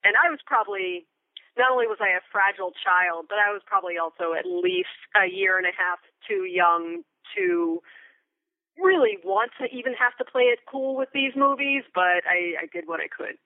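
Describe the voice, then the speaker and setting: Heard over a narrow, telephone-like connection with no room sound, an American woman in her thirties gives interview answers. She is conversational and thoughtful, choosing articulate phrases and leaning deliberately on certain words. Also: mid-range voice, expressive, dry recording. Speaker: interview guest, theater company member and Foley artist. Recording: thin, phone-like remote line.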